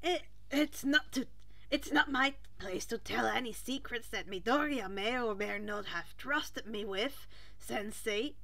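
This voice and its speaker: A woman voicing an earnest, loyal, anxious teenage boy in a story, halting and emphatic. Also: a little high, gravelly, bright, forceful tone, no echo, heavily acted. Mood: nervous, defensive.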